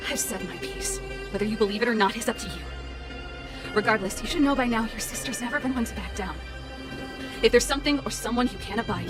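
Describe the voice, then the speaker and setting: An actress playing a bold, defiant older sister who rebels against authority in a video game, impassioned and insistent. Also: female voice, a little high, natural voice. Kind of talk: dramatic video game voice acting. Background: game music.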